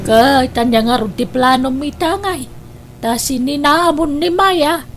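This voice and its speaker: Radio drama role: nagging older mother, high-pitched, exclamatory, advising a grown son. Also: a little slow, a little hiss or hum. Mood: scolding. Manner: scolding, exclamatory, persuasive. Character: exasperated older mother counselling her stubborn grown son. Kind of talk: Ilocano radio drama acting.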